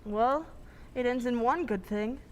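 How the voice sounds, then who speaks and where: A woman's voice: a little high, a little slow, a little mellow, close to an everyday voice. A woman playing a detective's curious female companion in an old-time radio drama. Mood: curious, relieved.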